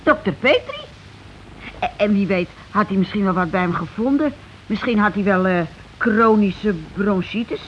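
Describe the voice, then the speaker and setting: An actress playing an anxious, compliant working-class wife in a radio play, speaking in a hesitant, naive manner. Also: female voice, medium pitch, lively intonation, moderate pace, close to an everyday voice. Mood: musing. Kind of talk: Dutch radio play acting. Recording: dull, noisy old radio recording.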